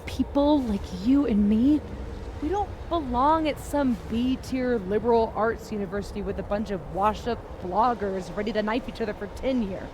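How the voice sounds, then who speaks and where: A woman's voice: fairly high pitch, normal speed, noisy background, natural voice. An actress playing a wounded, defiant young woman in a video game, sardonic, bitter and profane. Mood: defiant, intense. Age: young adult. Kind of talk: naturalistic video game acting.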